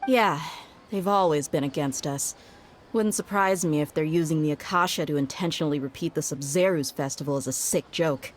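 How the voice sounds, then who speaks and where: An actress plays a defiant young woman who distrusts authority in a video game. Her voice sits a bit low, and she talks in a blunt, wry, musing way over faint noise. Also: normal speed, close to an everyday voice. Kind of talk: English video game voice acting.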